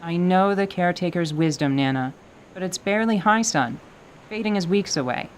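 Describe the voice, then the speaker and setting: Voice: mid-range voice, normal speed, a little hiss or hum, lightly acted, poor audio. A performer voicing an eager, stubborn young winged girl with a pleading, youthful manner in an audio drama. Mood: protesting.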